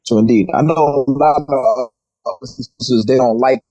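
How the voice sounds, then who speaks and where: A man's voice: mid-range voice, steady tone, moderate pace, dark tone, slightly rough, no room sound, highs cut off. A man hosting a podcast, talking loose and slangy, repeating phrases like a hip hop head. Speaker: podcast host. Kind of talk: casual hip hop chat.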